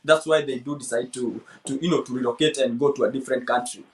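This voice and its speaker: A man's voice: mid-range voice, steady tone, fast talker. A man hosting an interview, speaking casually and reflectively with many fillers. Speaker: host.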